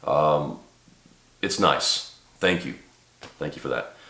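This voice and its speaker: A man with a very low voice talks to camera, a little stuffed up from a cold. He rambles earnestly, with lots of ums and restarts. The room around him is echoey. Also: slow pace, creaky, pushed and bright. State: congested from a cold. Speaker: channel host and small business owner. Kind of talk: casual talk to camera. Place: home office.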